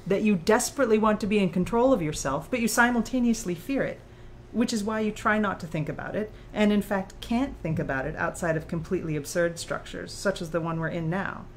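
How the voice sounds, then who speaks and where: A woman's voice: mid-range voice, brisk, a little hiss or hum, close to an everyday voice. A woman performing an analytical, probing author character in audiobook dialogue. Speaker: audiobook reader.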